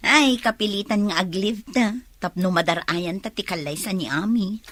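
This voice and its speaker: Radio drama role: chatty young woman, mid-pitched, close dry sound. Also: takes it slowly, fairly bright tone, no room sound. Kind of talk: Ilocano radio drama acting.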